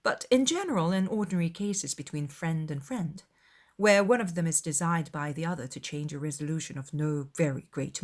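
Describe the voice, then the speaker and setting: A woman's voice: medium pitch, lightly acted. A woman voicing a witty, spirited young lady in a dramatised audiobook, speaking with pointed, arch politeness. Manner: articulate, pointed, archly polite. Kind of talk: dramatised audiobook of a classic novel.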